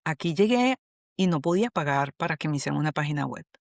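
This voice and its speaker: A Venezuelan woman, a human resources specialist, talking as a guest in an interview, animated and often checking in with "¿ok?". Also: sits low, quick pace, gravelly, no room sound, clean studio sound. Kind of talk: conversational interview.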